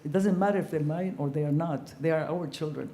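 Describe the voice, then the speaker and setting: An older woman from Ecuador speaking on a panel in a large conference hall, her voice mellow and warm. She talks earnestly and reflectively, filling her pauses with ums. The room's echo trails after her words. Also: deep voice. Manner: earnest, reflective, frequent ums, growing animated. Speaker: panelist, former ambassador. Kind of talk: panel discussion storytelling.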